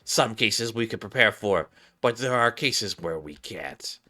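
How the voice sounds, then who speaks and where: Pompous young woman sleuth for a game read by a man, accusing, theatrical, forceful and bright. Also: lowish voice, expressive, unhurried. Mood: determined. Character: overzealous, suspicious young female detective.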